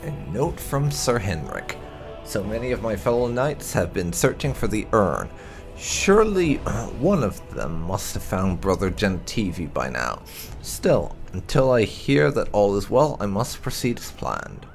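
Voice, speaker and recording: A man with a gravelly voice reading fantasy game lore aloud in a measured, solemn storytelling manner. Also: fairly low pitch, big pitch swings, faint music behind. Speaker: gaming channel host.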